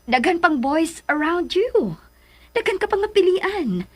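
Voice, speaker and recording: A concerned mother in a radio drama. Her voice is high and very melodic as she coaxes and reasons. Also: big pitch swings, measured pace, darkish tone, exaggerated voice. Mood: cautioning. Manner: coaxing, admonishing. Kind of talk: Filipino radio drama acting.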